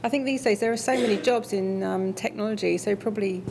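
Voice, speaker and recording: A woman speaking to an audience, wrapping up her talk and answering a question in a relaxed, conversational way with many fillers. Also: medium pitch, fast talker, creaky, noisy background. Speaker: invited speaker.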